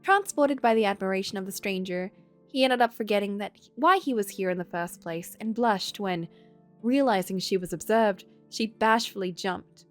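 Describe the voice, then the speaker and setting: A woman's voice: mid-range voice, expressive, normal speed, soft background music. A woman livestreamer reading a visual novel aloud, moving between story narration and casual commentary.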